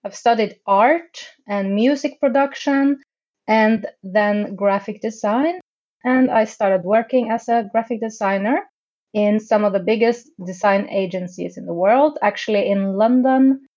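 Woman guest from northern Norway, slow, hesitant and self-mocking, muffled recording. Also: mid-range voice, darkish tone. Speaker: podcast guest. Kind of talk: casual interview chat.

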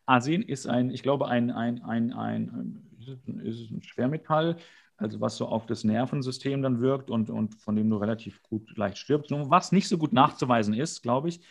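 A man co-hosting a podcast, casually and comically retelling an episode in an animated way with exasperated, slangy outbursts. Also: medium pitch, moderate pace, creaky, breathy edge. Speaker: podcast co-host. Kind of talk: casual comedic episode recap.

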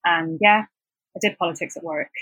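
Young woman from hong kong, cantonese first language, bright husky voice, lots of "like", chatty podcast guest. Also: lowish voice, brisk, dry recording, poor audio. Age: 20s. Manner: chatty, many "like" fillers, laughs between points. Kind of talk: casual conversational interview.

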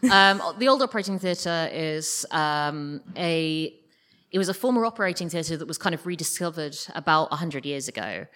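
A woman's voice: deep voice, animated, normal speed, fairly bright tone. A woman guest in a casual live stage interview, talking in a hesitant, wry way. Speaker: interview guest, audio drama writer. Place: live stage at a podcast festival.

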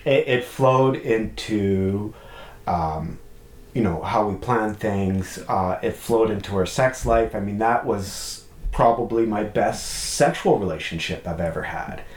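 A man's voice: fairly low pitch, measured pace, echoey room. A man speaking candidly as a podcast guest about his past relationship, reflective and open, often ending his points with a questioning "right?". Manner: open, reflective, many restarts and "right?" tags. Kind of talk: candid conversational chat.

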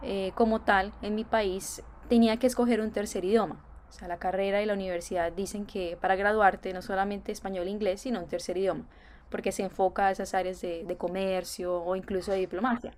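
A young woman from Colombia with a Colombian accent, answering interview questions in a thoughtful way with many filler pauses. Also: mid-range voice, steady tone, a little mellow, a little hiss or hum. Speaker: interview guest.

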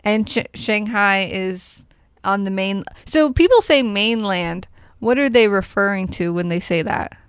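A woman's voice: medium pitch, a little slow, smooth, no echo. Playful woman podcast host, dry sound. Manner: playful, jokey, theatrical swings in voice. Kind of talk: casual chat.

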